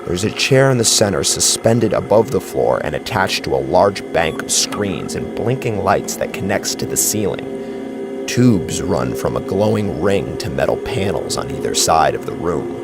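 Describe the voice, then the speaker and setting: A man with a gravelly voice narrating a horror story in a dramatic, scripted style. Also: low-pitched, very animated, normal speed. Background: clear music.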